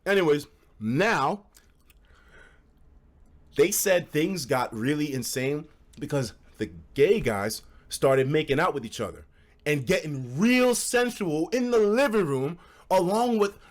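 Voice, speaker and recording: A man streaming casual, animated stories to a live chat, with slangy, profane phrasing and dramatic emphasis. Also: on the high side, a little slow. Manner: profane, slangy, acts out quoted dialogue; dramatic emphasis and repetition for suspense.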